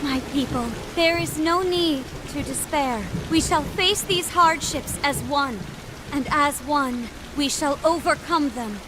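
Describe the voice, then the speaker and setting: A woman playing a gentle, earnest young princess in a game cutscene, speaking in a measured, formal and sincere way. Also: high-pitched, noisy background. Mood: rallying, hopeful. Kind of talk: dramatic video game cutscene acting.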